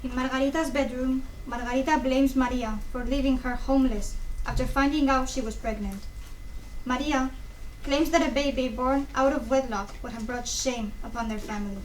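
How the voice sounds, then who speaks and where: A woman's voice: high voice, fairly even intonation, normal speed. A young woman reading scripted narration of a stage play. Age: young adult. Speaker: student narrator of a play summary. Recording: distant mic, faint room noise.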